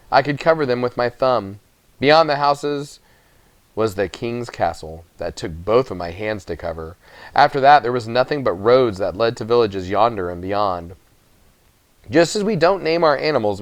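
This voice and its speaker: A man's voice: lowish voice, no echo. Man reading a storybook, dry sound. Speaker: audiobook reader. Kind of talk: expressive storybook narration.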